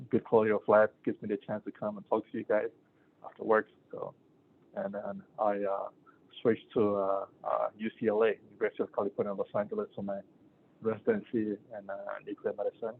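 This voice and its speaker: A man's voice: on the low side, gravelly, slight background noise. A middle-aged man from Ghana, a physician giving a casual talk to students, rambling with many fillers and restarts. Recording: tinny phone-like sound.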